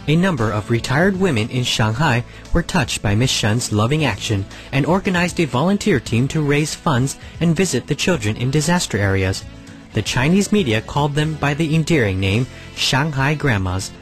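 A man reading scripted documentary narration in a calm, measured way as the program's voice-over. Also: mid-range voice. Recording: faint music underneath.

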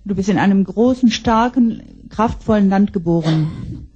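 A woman's voice: medium pitch, normal speed, mellow, breathy edge, highs cut off. A woman interpreting a speaker line by line, with occasional hesitations. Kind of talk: consecutive translation into German.

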